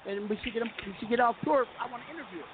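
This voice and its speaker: A man hosting a call-in talk radio show, talking fast and joking, often cutting in over his caller. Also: very high-pitched, a crowd in the background. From US West Coast. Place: home studio in the Southwest. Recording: phone-line audio with faint hiss.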